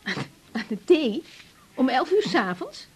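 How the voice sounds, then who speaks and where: A woman's voice: high-pitched, sing-song, moderate pace, slight background noise. A young woman with a Dutch accent from the Netherlands playing a frank, easygoing doctor in a naturalistic radio drama. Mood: surprised, friendly. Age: young adult.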